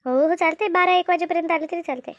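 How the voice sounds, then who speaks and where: A woman's voice: very high voice, fast talker, highs cut off, noisy background. A woman voicing a harried, fussy village housewife in a cartoon comedy, rambling and repeating herself in a hurry. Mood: planning, fretful. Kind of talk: animated cartoon comedy dialogue.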